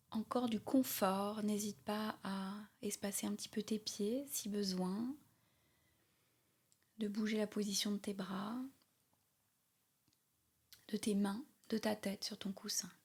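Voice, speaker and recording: A woman leading a guided yoga nidra meditation in a soothing, unhurried way, with soft, breathy phrase endings. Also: medium pitch, steady tone. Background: meditation music with chimes.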